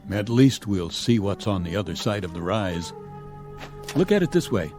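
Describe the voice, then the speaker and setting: A man playing a stern, level-headed colonel commanding a stranded space crew in a radio drama, with a measured, authoritative manner. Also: on the low side, sing-song, over music. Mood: resolute, matter-of-fact.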